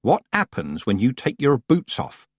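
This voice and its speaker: A man voicing a stern old woman witch who speaks calmly, coldly and tersely. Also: medium pitch, measured pace, no echo, studio quality, pitched above the performer's own voice, heavily acted. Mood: icy calm. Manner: calm, clipped, coldly controlled.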